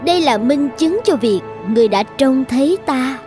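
An actress voicing a regal, calm female spirit, stern but kind, in a cartoon. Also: high-pitched, normal speed. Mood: solemn, benevolent. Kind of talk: animated cartoon dubbing. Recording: music behind the voice.

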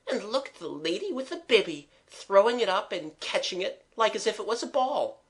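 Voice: medium pitch, big pitch swings, normal speed, pitched above the performer's own voice. A rapt young working-class maid from a storybook, as a narrator puts her on. The voice sits well above the reader's own, with colloquial, unschooled phrasing and open wonder. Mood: rapt, delighted.

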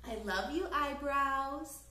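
A woman in her thirties reading a picture book aloud to young children in an expressive, sing-song way, recorded in a living room. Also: high voice, takes it slowly, roomy sound. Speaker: children's story time host. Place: small living room, indoors on a couch.

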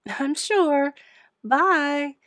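A woman reader puts on an exasperated storybook innkeeper, a middle-aged woman with a lifted pitch. She is brisk, emphatic and scolding, firm even when flustered. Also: no echo, clean studio sound, full character voice. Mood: brisk, polite.

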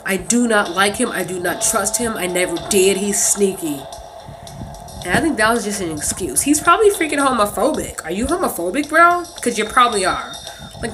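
Casting: woman, 30s, medium-pitched voice, casual vlog rant, emphatic and aggrieved, slangy delivery. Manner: indignant, emphatic, slangy venting with mimicked quotes. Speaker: vlogger. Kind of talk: casual storytelling rant to camera. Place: small bedroom, indoors. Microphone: phone at arm's length.

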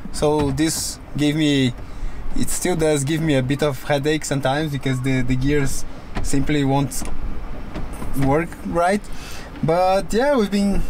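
A man in his thirties giving a casual camper van tour, speaking as a with a relaxed, halting manner and frequent restarts. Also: a little high, slight background noise. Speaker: vlog co-host. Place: inside and beside a camper van by the sea.

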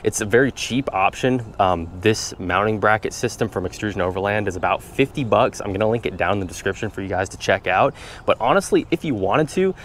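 A man's voice: fairly low pitch, brisk, slight background noise. A man in a casual how-to vlog, explaining his truck setup in a methodical, practical way, outdoors by his truck. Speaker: vlogger showing his truck build.

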